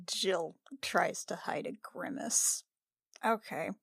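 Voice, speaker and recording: A woman's voice: lowish voice, slow pace, vocal fry, a bit bright, breathy edge, dry recording. A woman casually improvising as a player in a tabletop game, with an informal, conversational manner.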